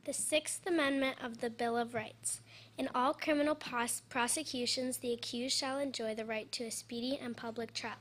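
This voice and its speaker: A woman reads a formal document aloud in a flat, unvarying tone. Her voice sits a little high. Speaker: reader. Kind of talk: reading a legal text aloud at a public event.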